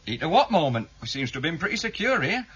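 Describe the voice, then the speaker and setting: An actor playing a methodical, keen junior police investigator in a British radio drama, explaining evidence matter-of-factly to a superior. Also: male voice, a little high, big pitch swings, fast, fairly bright tone, breathy edge, exaggerated voice. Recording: dull, lo-fi 1978 radio broadcast.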